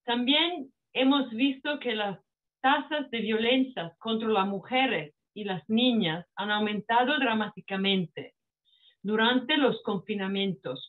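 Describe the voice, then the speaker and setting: A woman with a heavy Italian accent gives a formal welcome speech, speaking very slowly and haltingly and often restarting her sentences. Her voice sits a little high. The sound is narrow and phone-like, as over a video call. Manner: slow, halting, with frequent restarts. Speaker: network manager opening a panel.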